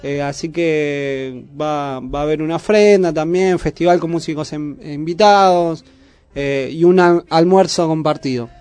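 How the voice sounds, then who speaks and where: A man's voice: fairly high pitch, steady tone, unhurried, darkish tone, clear, resonant tone. A man with a Buenos Aires accent making casual announcements as co-host of a community radio show. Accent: Argentine, Buenos Aires.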